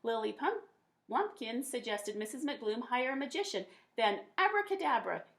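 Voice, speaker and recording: A woman with a fairly high voice reads a children's storybook aloud. She tells it in a folksy, expressive way, leaning on the colorful words. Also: moderate pace. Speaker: teacher reading a picture book aloud.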